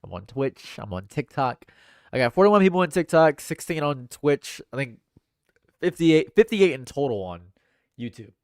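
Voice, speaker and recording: A young man with a stuffed-up nose, reading chat comments on a livestream in a dry, deadpan way. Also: fairly high pitch, moderate pace, dry recording. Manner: dry and deadpan, laughs at own jokes. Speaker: livestream host. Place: home room.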